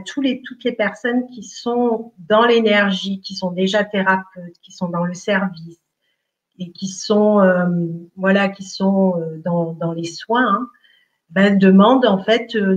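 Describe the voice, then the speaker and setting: A middle-aged woman from Italy speaking as a spiritual healer in an online workshop, slow and hesitant with many fillers. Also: mid-range voice, lo-fi sound.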